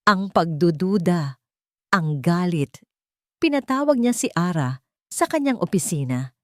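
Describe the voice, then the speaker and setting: Low-pitched woman narrating a romance story. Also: animated, measured pace, no echo, clean studio sound.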